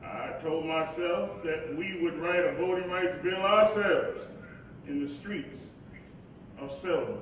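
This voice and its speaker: An actor playing the solemn spirit of a civil rights preacher in a staged reading, with measured, weighty oratory. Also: male voice, on the high side, far from the mic. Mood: grave, reflective. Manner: measured, deliberate, weighty phrasing. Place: live stage in a hall. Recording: very echoey, noisy hall recording from afar.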